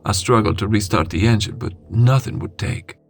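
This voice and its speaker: A man reading a scary first-person story in a dramatic, suspenseful way with deliberate pauses. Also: lowish voice, creaky, slight background noise. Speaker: horror story narrator. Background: music.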